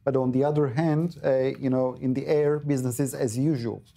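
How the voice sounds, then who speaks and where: Male expert guest, Turkish accent, dark mellow tone, earnest studio analysis. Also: medium pitch, steady tone, a little fast, gravelly. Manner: earnest, many fillers and restarts. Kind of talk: studio news interview. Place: TV news studio.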